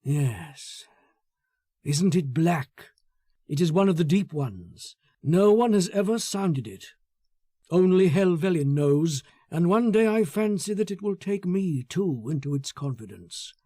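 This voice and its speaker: Guy doing a brooding possessive type, mellow voice, intense and musing, hiding hatred, storybook villain, very dry sound. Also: on the high side, very animated, slow, no echo, full character voice. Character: brooding, coldly possessive man harbouring secret hatred.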